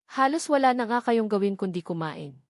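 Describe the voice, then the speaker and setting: A woman's voice: mid-range voice, expressive, brisk, no echo, clean studio sound, lightly acted. A woman voicing an anxious, devoted mother with an earnest, tender, pleading manner in a dramatized story. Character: anxious, devoted, self-sacrificing young mother. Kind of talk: dramatized novel narration.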